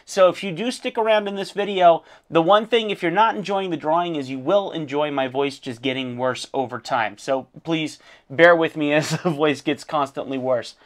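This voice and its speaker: A hoarse man who has lost his voice, an art teacher critiquing a student's drawing in a casual, encouraging way with frequent fillers. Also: a little high. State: losing his voice.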